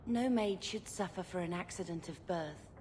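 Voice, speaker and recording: A woman's voice: lowish voice, moderate pace, darkish tone, natural voice. An actress playing an earnest, compassionate female adventurer in a video game. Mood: earnest, reassuring. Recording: clear background noise.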